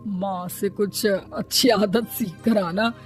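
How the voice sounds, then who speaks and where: A sharp-tongued, mocking mother-in-law, voiced by a woman in an animated family story. She scolds in a mid-range voice and taunts with every line. Soft music plays underneath. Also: medium pitch, slow pace, natural voice. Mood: taunting.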